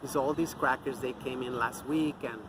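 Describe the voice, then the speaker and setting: A man's voice: medium pitch, steady tone, normal speed, background noise. Angry man ranting outdoors. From Mexico. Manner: angry, profane, scornful. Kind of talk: off-the-cuff ranting monologue.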